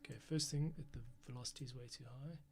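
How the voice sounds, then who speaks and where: A man's voice: medium pitch, fairly even intonation, fast talker. A man thinking aloud in casual commentary as he edits, muttering to himself. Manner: muttering to himself, humming and counting along. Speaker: podcast editor and host. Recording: loud music over the voice. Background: loud music, keyboard typing.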